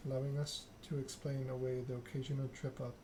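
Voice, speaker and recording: A man's voice: medium pitch, level tone, a little fast, background noise. A man doing an ASMR read-aloud of a narrative game, occasionally stumbling over the written text. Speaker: gaming channel host.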